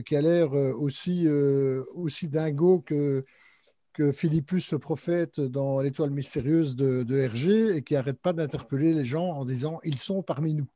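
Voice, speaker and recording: A man's voice: fairly high pitch, dark tone, no echo, studio quality. A man moderating a literary panel, introducing the guests and their books with many hesitations and fillers. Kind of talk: panel discussion hosting.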